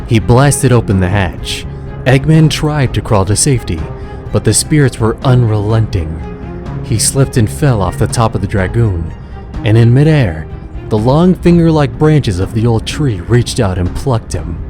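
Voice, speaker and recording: A man's voice: on the low side, lively intonation, a little slow, over music. A man giving scripted, dramatic narration of an adventure story as the narrator.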